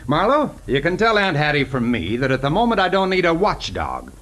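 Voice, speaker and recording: An actor playing a flippant, haughty rich idler in a 1940s radio drama, sardonic and dismissive. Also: male voice, on the high side, huge pitch swings, brisk, a bit bright.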